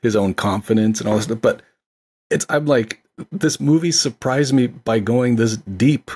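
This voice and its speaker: A man's voice: mid-range voice, moderate pace, darkish tone, husky. A man co-hosting a casual film discussion, talking in an enthusiastic, appreciative way. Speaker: podcast co-host discussing films.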